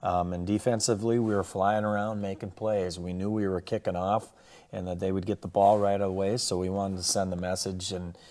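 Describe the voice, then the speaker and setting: A man with an American accent, a football coach talking casually through a game recap in a plainspoken, halting way. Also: low voice, flat delivery, darkish tone. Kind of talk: casual sports recap and highlight commentary.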